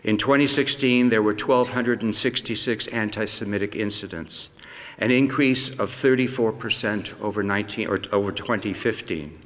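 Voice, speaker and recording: An older man speaks in a measured way, reading a prepared speech from notes. His voice sits fairly low. A long hall reverb trails after each phrase, the sound of a theatre stage. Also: a bit bright. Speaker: Holocaust survivor speaker.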